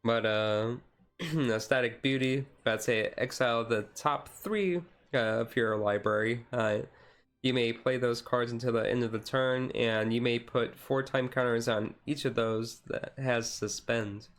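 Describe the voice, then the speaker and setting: Easygoing guy streamer doing chill asmr card showcase, bit rough voice, taking it gentle, halting as he reads. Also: medium pitch, fairly even intonation. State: voice slightly rough, being taken easy.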